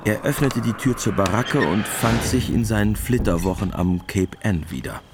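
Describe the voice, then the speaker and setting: A man's voice: lowish voice, moderate pace, noisy background. A man narrating a radio play in a matter-of-fact, scripted style.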